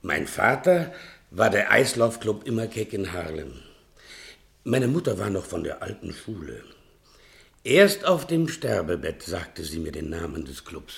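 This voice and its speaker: A fat, wheedling manservant with lofty artistic airs in a vintage radio play. His low, gravelly voice takes its time and swings widely from hushed self-pity to grand, melodramatic declaiming. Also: sing-song, a little slow, heavily acted. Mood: wry storytelling. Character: fat, self-pitying servant, theatrical self-styled playwright. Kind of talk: 1960s German radio play acting.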